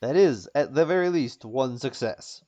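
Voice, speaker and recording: A man's voice: mid-range voice, expressive, normal speed, warm tone. A man improvising narration as a tabletop game master, often searching for words and repeating phrases as he describes things.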